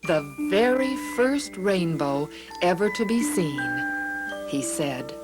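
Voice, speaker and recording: A woman narrates a children's Bible storybook at an unhurried pace, leaning on key words for drama. Her voice sits slightly low, and clear music plays behind her. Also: big pitch swings. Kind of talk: expressive scripted storybook narration.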